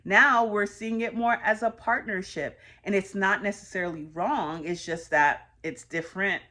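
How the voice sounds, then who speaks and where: A woman's voice: on the low side, sharp tone. Earnest, reflective woman in her thirties. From United States. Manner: earnest, conversational, with frequent fillers. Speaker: host sharing personal reflections. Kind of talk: reflective personal monologue.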